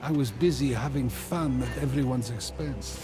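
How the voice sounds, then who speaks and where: A man playing a remorseful father god who rules the universe, speaking in a halting, weary way in a video game. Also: medium pitch, natural voice. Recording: clear music behind the voice.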